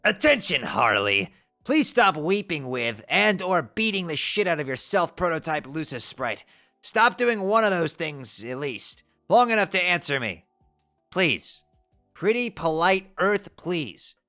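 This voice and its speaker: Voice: medium pitch, very animated, a little slow, pushed and bright, faint music behind, full character voice. A performer voicing an irritable young male alien troll who is curt and mock-polite.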